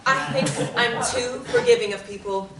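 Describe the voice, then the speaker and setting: A woman's voice: fairly high pitch, moderate pace, a loud crowd, lightly acted. A woman reading the part of a blunt young woman in group therapy. She speaks in an eager, outspoken way. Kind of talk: table reading of a stage play. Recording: echoey room from a distant mic.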